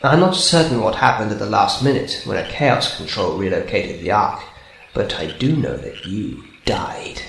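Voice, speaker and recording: Man playing a gloating, gravelly robot villain, roomy sound. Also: on the low side, lively intonation, moderate pace, a bit bright. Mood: scornful storytelling. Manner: gloating, mock-sweet needling. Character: sadistic, taunting male robot hunter with claws. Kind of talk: amateur radio drama villain acting. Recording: roomy sound with faint noise.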